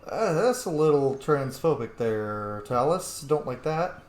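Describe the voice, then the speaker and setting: A man's voice: a little high, lively intonation, a little slow, reverberant. A man giving casual, playful game commentary as host, reacting aloud with asides. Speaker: gaming video host and player.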